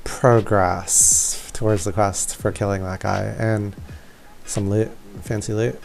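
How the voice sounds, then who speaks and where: A man talks slowly in a low, creaky voice, giving casual gameplay commentary. He is laid-back and offhand, with faint game music behind him. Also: flat delivery, darkish tone. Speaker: gamer narrating a walkthrough.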